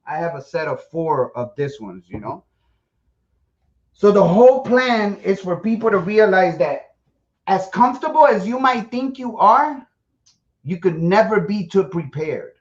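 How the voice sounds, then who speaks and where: A man's voice: high voice, animated, measured pace, lo-fi sound. A man from Guatemala hosting a casual survival-prep livestream, boastful and joking, often stumbling over his words as he talks to viewers. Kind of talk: casual live-stream chat to viewers.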